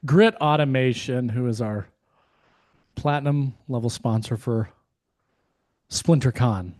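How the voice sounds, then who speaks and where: A man co-hosting a podcast, hoarse and losing his voice, talking in a casual, rambling way with many fillers and restarts. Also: medium pitch, slow, darkish tone, dry recording. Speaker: podcast co-host. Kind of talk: casual chat.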